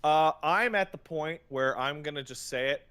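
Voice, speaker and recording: A man's voice: a little high, normal speed, bright, forceful tone, clean tone. A man co-hosting a podcast, chatting casually about video games in a blunt, profane, emphatic way. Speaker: podcast co-host.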